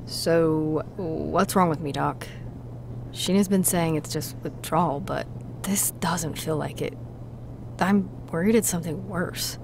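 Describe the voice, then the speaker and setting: Slow-talking troubled woman. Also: low-pitched, vocal fry, background noise, exaggerated voice. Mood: worried. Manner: halting, disbelieving, foul-mouthed. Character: troubled woman patient, defensive, in shocked denial. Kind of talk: visual novel voice acting.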